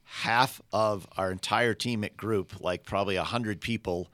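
A man hosting a podcast, his voice scratchy and gravelly from being sick, talking in a rambling, friendly way with many restarts. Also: on the low side, measured pace, fairly bright tone, no room sound. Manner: rambling, self-correcting, friendly. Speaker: podcast host.

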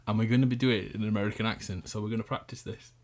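A man's voice: fairly low pitch, steady tone, very fast. A man hosting a casual chat, playful, laughing often and apologetic. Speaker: podcast host.

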